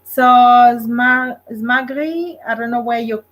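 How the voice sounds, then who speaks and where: A woman's voice: on the high side, slow pace, fairly bright tone, a little hiss or hum. A Kenyan woman hosting a casual livestream, talking with many fillers and hesitations and speaking straight to her viewers. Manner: addresses live viewers directly. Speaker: livestream host. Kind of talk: casual solo livestream talk.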